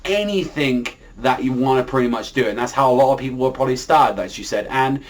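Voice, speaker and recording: A man's voice: mid-range voice, fast talker. A man giving a casual, unscripted anime review, talking in a rambling, repetitive way with a habit of filler words.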